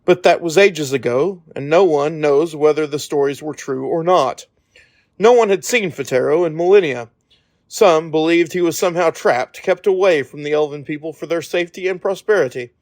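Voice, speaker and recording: A man reading scripted fantasy audiobook narration in a measured storytelling manner. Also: fairly high pitch, a little mellow.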